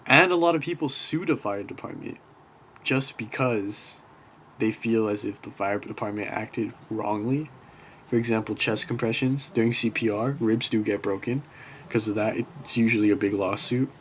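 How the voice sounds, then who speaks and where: A young man giving a casual class presentation from his bedroom, talking quietly and apologizing as he rambles a bit. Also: on the low side, moderate pace. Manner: quiet, self-conscious, apologetic, loose and rambling. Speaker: student presenting a class project. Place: bedroom in an apartment next to a street. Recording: faint street noise.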